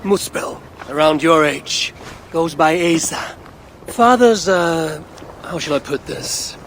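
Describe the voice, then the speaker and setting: An actor playing a proud, dryly witty Norse god warrior in a video game, speaking in a measured, commanding way. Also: male voice, fairly high pitch, expressive, a bit bright, slight background noise, full character voice. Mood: wry, taunting. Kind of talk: video game cutscene acting.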